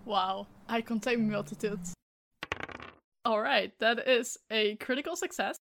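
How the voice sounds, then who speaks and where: Woman, game master, casual tabletop chat, fairly high voice, light music behind. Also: measured pace, vocal fry, a bit bright.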